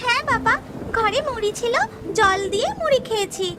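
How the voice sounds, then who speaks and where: A woman's voice: extremely high pitch, a little fast. A woman voicing an eager, caring little village girl in a cartoon. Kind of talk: cartoon voice acting. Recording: loud music over the voice.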